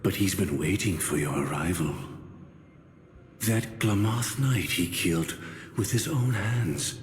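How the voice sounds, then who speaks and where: A spirit made of memory in an anime game, composed and polite. The deep voice is solemn and very deliberate, with slow delivery and long, echoing reverb. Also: male voice, lively intonation. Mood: grave, persuasive. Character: calm, courteous male spirit formed from memory. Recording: echoey long reverb, faint noise.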